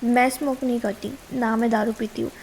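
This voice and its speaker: High-voiced Indian girlfriend, scolding but caring, acted by a woman. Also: flat delivery, fairly quick, a little mellow, a little hiss or hum, natural voice. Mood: worried, exasperated. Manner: pleading and chiding, switching between Hindi and English. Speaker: ASMR roleplay creator. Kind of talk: intimate ASMR girlfriend roleplay.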